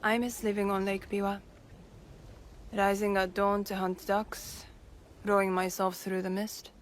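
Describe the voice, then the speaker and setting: A woman's voice: mid-range voice, little pitch movement, a little slow, lightly acted. Actress as playful young samurai noblewoman, faint noise. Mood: wistful. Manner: teasing, self-assured. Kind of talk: video game cutscene voice acting.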